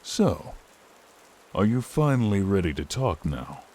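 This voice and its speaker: An actor playing a smug, mocking fantasy hero who interrogates a suspect in a taunting, deliberate way. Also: male voice, sits low, sing-song, darkish tone, heavily acted.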